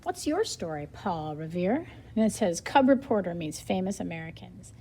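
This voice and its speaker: A woman reading a nonfiction book aloud as a lesson for children, expressively, pausing to explain things simply and think out loud. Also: fairly low pitch, very animated, breathy edge.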